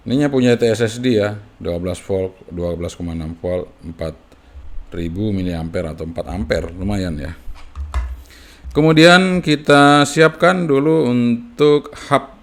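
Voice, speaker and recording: A man with a lowish, noticeably creaky voice presents a casual hands-on tutorial. He explains things in short, halting pieces and often checks in with small tag words at the end of a phrase. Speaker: amateur radio hobbyist presenting a tutorial.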